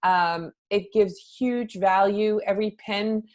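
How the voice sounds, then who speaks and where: A woman's voice: mid-range voice, steady tone, slow. A middle-aged woman from the Netherlands, a social media consultant, answering interview questions in a chatty way with many fillers.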